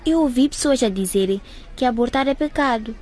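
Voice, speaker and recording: Woman playing a high-voiced, confused young woman. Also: fairly quick, a little mellow, lightly acted. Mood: unsure, shaken. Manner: hesitant, apologetic. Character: confused, frightened young pregnant woman, polite to elders. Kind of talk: radio drama acting.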